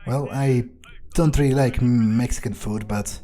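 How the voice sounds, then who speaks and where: A man's voice: medium pitch, fairly even intonation, unhurried, mellow. A man roleplaying his game character aloud, musing to himself with cold, menacing understatement. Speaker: gameplay video player.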